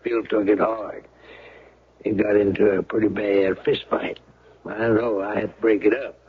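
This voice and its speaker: An actor playing a laconic, knowing small-town sheriff in a radio mystery drama, folksy and unhurried. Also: male voice, lowish voice, level tone, phone-quality sound, a little hiss or hum, lightly acted. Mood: reminiscing. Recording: thin, band-limited old radio broadcast.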